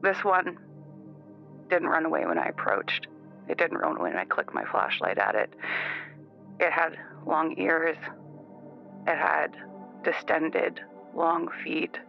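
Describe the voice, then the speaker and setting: A man playing an uneasy, bitter caller in an improvised radio drama, brooding and halting, through a radio filter. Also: fairly high pitch, lively intonation, creaky, exaggerated voice. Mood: brooding unease. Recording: dull lo-fi radio sound. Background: music.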